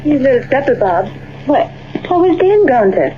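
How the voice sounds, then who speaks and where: A homespun frontier wife, fairly high-voiced and gently concerned, speaking in folksy western radio-drama style. The recording is narrow and tinny. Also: female voice, sing-song, moderate pace. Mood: concerned, curious. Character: homely, concerned western miner's wife, a woman. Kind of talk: 1940s radio western acting. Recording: tinny, noisy old-time radio recording.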